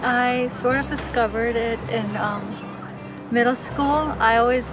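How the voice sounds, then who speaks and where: An eighteen-year-old with a woman's voice, answering hesitantly with many pauses and ums. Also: a little high, low-quality recording. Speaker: interviewee. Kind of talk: casual interview answers. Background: background music.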